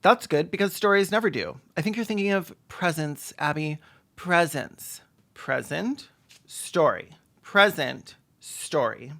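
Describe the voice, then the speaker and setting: A man with a fairly high voice hosts a film reaction video. He is sarcastic and snarky, leaning on dramatic emphasis and crude jokes as he comments. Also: expressive, unhurried, vocal fry, fairly bright tone. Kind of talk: sarcastic comedic movie commentary.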